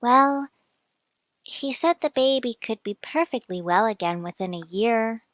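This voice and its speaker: An actress playing a gentle, troubled wife and mother in a radio drama, speaking hesitantly. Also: a little high, expressive, a little slow, no room sound. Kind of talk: old-time radio drama acting.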